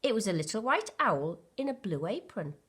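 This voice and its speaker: A woman's voice: mid-range voice, sing-song, moderate pace, slightly rough. A woman reading a children's storybook aloud expressively, like a primary school teacher.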